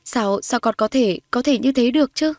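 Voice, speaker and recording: A woman's voice: mid-range voice, a little fast, no echo, clean studio sound, lightly acted. A woman voicing a meek fifteen-year-old girl, fearful and polite, pleading earnestly in a dramatized audio story.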